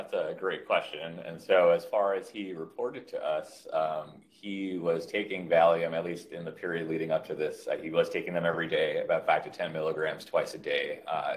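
Very deep-voiced man presenting a case. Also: steady tone, fairly quick, hardly any pauses. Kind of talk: clinical case presentation, unscripted. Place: indoor room on a video call. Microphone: video call.

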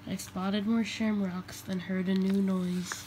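A woman reading a children's picture book aloud in a deliberate way, phrase by phrase. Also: mid-range voice, level tone, mellow, rough recording. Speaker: storybook reader. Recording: faint background noise, home recording.

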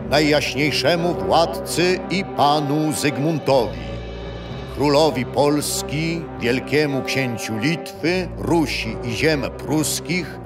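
An actor playing a kindly, patient grandfather telling stories in a children's radio play, unhurried and expressive. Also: on the high side. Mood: solemn. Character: kindly grandfather storyteller, patient, teasing, wise.

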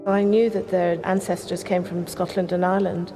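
A middle-aged woman speaks in a flat, reflective interview manner, her pitch held level and a bit low. Her voice trails into a long room reverb. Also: moderate pace. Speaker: photographer interviewee. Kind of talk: interview recollection.

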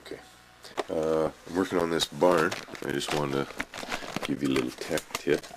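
A man's voice: very deep voice, steady tone, moderate pace, noisy background. A man, rambling through a casual home workshop tip and checking in with the viewer, recorded on a handheld camcorder. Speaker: hobby model builder. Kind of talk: casual home video tutorial. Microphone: camcorder mic, handheld.

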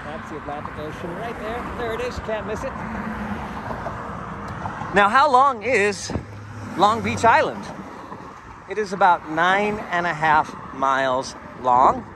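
A man's voice: high voice, animated, measured pace, long pauses. A man narrating a walking tour in a chatty, digressive way, recorded outdoors on a camera mic while he walks. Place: outdoors on a bridge footpath by the sea. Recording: outdoor street noise. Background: traffic and wind noise.

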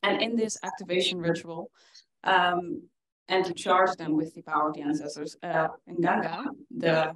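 A young woman from the Netherlands giving a conference talk in an earnest way, often pausing with fillers. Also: on the low side, fairly even intonation, fairly bright tone, poor audio. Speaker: conference speaker. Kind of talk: presentation talk. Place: conference hall with audience.

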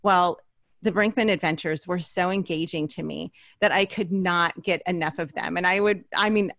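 A middle-aged woman hosts a conversational interview. Her voice sits a little low, and she talks in a chatty, storytelling way. The sound is close and dry, from a small studio. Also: steady tone, moderate pace, a bit bright, no echo. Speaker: podcast host. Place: small indoor home studio. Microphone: large studio microphone up close.

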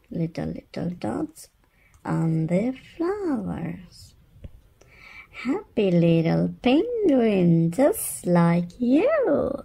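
A woman hosts a read-aloud for very young children. She speaks very slowly, with a soft, mellow tone and some creak. Her delivery is sing-song and repetitive, as if talking straight to toddlers. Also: lowish voice. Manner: sing-song, repeating words playfully, addressing small children. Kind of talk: picture-book read-aloud for babies and toddlers.

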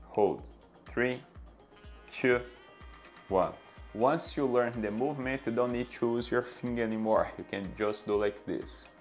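A man in his thirties with a Brazilian accent teaches posture exercises to camera, his English marked by non-native grammar. He talks in a mid-pitched, steady coaching voice and counts down each hold. A lapel mic in a bright room picks him up close, with soft music faintly behind. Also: a little slow, pauses often. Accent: Brazilian Portuguese. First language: Portuguese. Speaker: fitness trainer. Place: bright indoor room with anatomy posters. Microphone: clip-on lapel mic.

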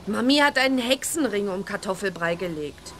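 A woman's voice: medium pitch, expressive, normal speed, slight background noise, close to an everyday voice. A woman playing a cheeky, plucky young witch girl in a children's radio play. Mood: cheeky, rueful.

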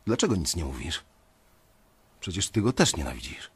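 A man's voice: sits low, sing-song, moderate pace, slightly rough, exaggerated voice. An actor playing a resentful young investment banker who tells his story bitterly in a radio drama. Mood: frustrated.

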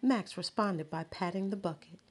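A woman, a teacher, reading a children's picture book aloud with slow, expressive storytelling. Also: lowish voice, slightly rough. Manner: slow, expressive storytelling with sound words and character voices.

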